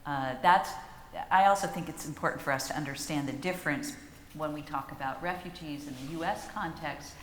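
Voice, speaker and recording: A woman with a low voice explains policy to a panel, measured and deliberate, often pausing on fillers. Her voice rings through a large hall with a long, roomy reverb and faint ambience behind it. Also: slight background noise. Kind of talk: panel discussion.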